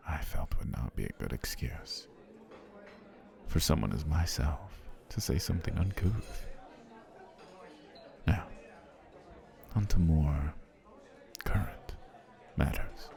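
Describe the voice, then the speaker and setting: A man voicing a formal, flattering male potion seller on a dinner date in an ASMR roleplay, slipping into soft whispers. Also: rumbling low, slow, gravelly, long pauses, full character voice. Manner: formal, courtly, flattering speech.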